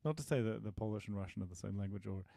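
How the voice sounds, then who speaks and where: A man answers programming questions on a live stream in a halting, thinking-aloud way, full of ums and self-corrections. His voice is dark and mellow with a gravelly edge. He is self-deprecating and friendly, and the sound is close and dry. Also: on the low side, no echo. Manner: halting, many fillers and restarts, thinking aloud. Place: home desk, dim room.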